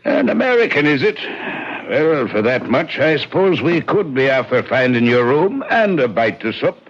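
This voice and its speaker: A cagey nosy irish pub keeper, lilting up and down, old muffled radio play. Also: male voice, medium pitch, big pitch swings, normal speed. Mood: wary, probing. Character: wary, inquisitive rural Irish publican. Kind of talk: old-time radio drama acting. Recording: dull lo-fi radio drama sound.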